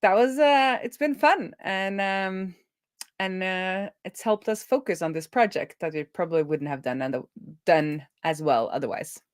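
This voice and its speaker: A woman's voice: fairly low pitch, lively intonation, a little slow, clean tone, no room sound, studio quality. A middle-aged woman hosting a livestream in casual, conversational chat. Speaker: livestream host.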